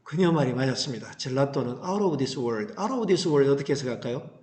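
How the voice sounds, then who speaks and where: A man's voice: mid-range voice, animated, normal speed, a little mellow. A man teaching an English reading lesson, explaining line by line and putting questions to students that he answers himself. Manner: didactic, asks rhetorical questions and answers them. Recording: roomy, echoing sound.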